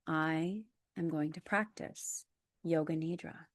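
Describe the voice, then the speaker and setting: A woman leading a guided relaxation as a yoga nidra instructor, calm and measured, with soft, breathy phrase endings. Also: deep voice, no room sound. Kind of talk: guided meditation, scripted instructions.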